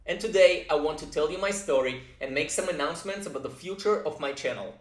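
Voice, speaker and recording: A man's voice: fairly high pitch, animated, quick pace. A man in his thirties, a native Russian speaker from Russia, introducing himself in an earnest, plain vlog with frequent fillers. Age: 30s. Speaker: YouTube composer. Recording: roomy echo, mic set far off.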